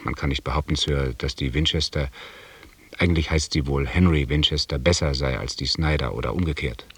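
Deep, husky, formal army lieutenant. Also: male voice, fairly quick, no echo, recorded from a distance, full character voice. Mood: deferential, earnest. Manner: formal, deferential, precise. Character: dutiful, formal, meticulous European army lieutenant. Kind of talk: German radio drama acting.

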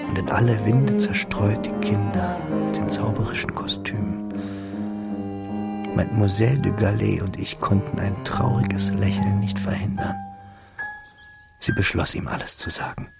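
A man recalling the past as a literary narrator. His voice is fairly low and full of creak, with a restrained, melancholic way of telling. Loud instrumental music plays behind him. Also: animated, moderate pace. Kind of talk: literary scripted narration in radio drama.

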